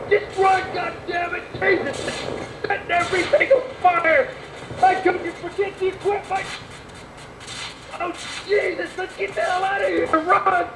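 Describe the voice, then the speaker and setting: An actor playing a panicked news crew member fleeing in a radio drama, shouting. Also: extremely high pitch, flat delivery, unhurried. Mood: terrified. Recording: noisy echoey field feed.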